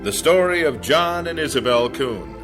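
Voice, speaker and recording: A man announcing a radio program in a slow, ceremonious, formal manner. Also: mid-range voice, sing-song. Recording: clear music underneath.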